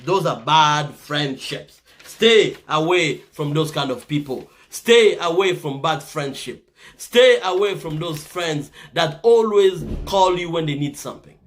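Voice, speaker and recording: A man's voice: on the high side, lively intonation, unhurried, a bit bright. A man from the Congo with a Congolese accent, giving earnest casual advice to viewers and often checking in with "right?". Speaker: vlogger giving life advice.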